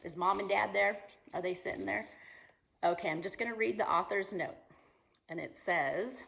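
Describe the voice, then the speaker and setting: A woman teacher reading a picture book aloud to children in an expressive, animated way, asking her young listeners questions. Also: medium pitch, normal speed, vocal fry. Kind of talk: animated children's story time read-aloud.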